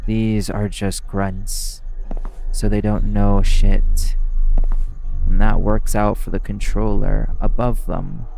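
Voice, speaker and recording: A man plays a shady vampire from an urban fantasy audio drama, cold and cynical. His low voice crackles with gravel and moves very slowly. He is blunt and dismissive, with menace under every word, over faint music. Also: a little mellow, exaggerated voice. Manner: slow, blunt, coolly dismissive.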